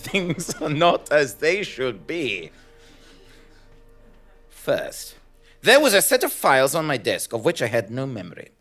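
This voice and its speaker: Pompous male journalist-narrator for audio drama; grandiose, deliberate, lively intonation, light music bed. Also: medium pitch. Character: pompous, self-regarding male investigative journalist narrating his case. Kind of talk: mock-noir scripted audio drama narration.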